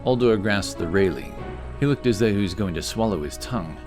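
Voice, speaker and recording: A man's voice: lowish voice, animated, fairly quick, music in the background. A man reading a scripted fantasy story as an audiobook narrator.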